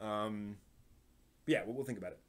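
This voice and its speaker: A man hosting a live stream in a casual, chatty way, often halting and repeating words as he thinks aloud. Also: lowish voice, a bit bright.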